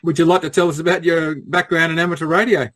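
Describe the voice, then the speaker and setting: A man's voice: fairly high pitch, steady tone, fast talker, a bit bright. A man conducting a casual interview, speaking in a friendly, welcoming way.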